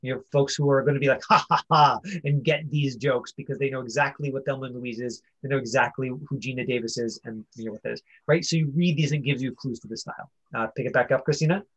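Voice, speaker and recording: A man with a husky, mid-pitched voice coaches actors informally. He rambles through explanations and directions, often tagging them with a quick check for agreement. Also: fairly even intonation, fairly bright tone, lo-fi sound. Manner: rambling, checks understanding with "right?". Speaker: acting coach.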